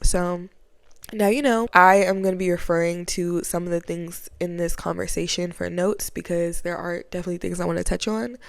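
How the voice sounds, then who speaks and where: Gravelly, sniffly young woman vlogging. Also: fairly low pitch, fairly even intonation, normal speed, no room sound. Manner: chatty, rambling, frequent fillers and slang. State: sniffly from a cold. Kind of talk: casual sit-down talk to camera. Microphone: camera mic, sit-down setup.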